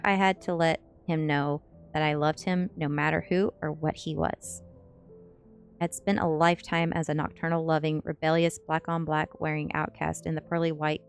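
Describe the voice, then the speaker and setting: ASMR narrator, female: low register, restrained intonation, measured storytelling read. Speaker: streamer reading a novel aloud.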